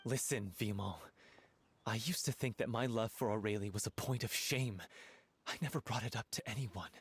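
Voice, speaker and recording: A man's voice: lowish voice, moderate pace, close to an everyday voice. A man voicing an earnest, hot-headed young theatre performer in a video game, intense, declamatory and confrontational, with faint noise. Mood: solemn resolve.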